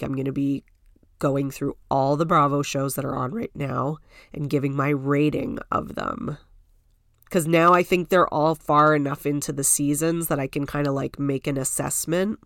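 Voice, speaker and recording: A woman's voice: low voice, steady tone, moderate pace, no echo, studio quality. Stuffy-nosed woman chatting. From Canada. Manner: chatty and rambling, many "like" and "you know" fillers. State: congested and stuffy from a cold. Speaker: podcast host. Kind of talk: casual solo podcast chat. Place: at home.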